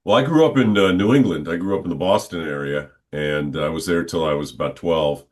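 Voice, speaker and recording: A man in his sixties, a guest on a podcast, telling stories from his childhood in an unhurried, conversational way. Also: low-pitched. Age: 60s. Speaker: interview guest, stadium announcer. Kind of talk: relaxed reminiscing conversation.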